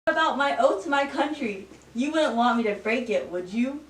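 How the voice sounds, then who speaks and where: A woman's voice: high-pitched, lively intonation, normal speed. A woman playing a self-serving, pushy male president in an amateur satire. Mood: indignant. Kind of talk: amateur student satire acting. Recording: roomy, distant mic, background noise.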